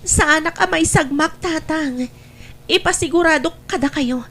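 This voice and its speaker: A woman acts a young provincial daughter in a family radio drama. The voice is very high and girlish, with an earnest, plaintive, emotional delivery. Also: normal speed, a bit bright. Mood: earnest, hopeful.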